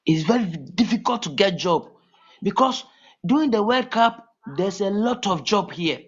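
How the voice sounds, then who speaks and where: A man from Ghana with a Ghanaian accent, talking as a guest in a casual call-in interview, halting and repeating his words. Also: high voice, expressive, husky. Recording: muffled lo-fi call audio.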